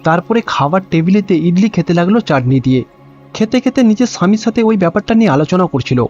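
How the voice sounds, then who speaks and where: A man's voice: high-pitched, animated, fast, a little mellow. A man reading scripted storybook narration for a cartoon. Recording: dull lo-fi sound with music. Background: background music.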